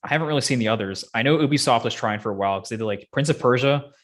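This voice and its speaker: Male podcast host, medium pitch, conversational and opinionated, wandering through his thoughts. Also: rapid-fire, a bit bright. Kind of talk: casual chat about films and games.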